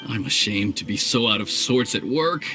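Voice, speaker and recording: An actor playing a dutiful young retainer in a video game, formal, earnest and hesitant with an anxious streak. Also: male voice, fairly low pitch, normal speed, bright, forceful tone. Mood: dejected. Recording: music behind the voice.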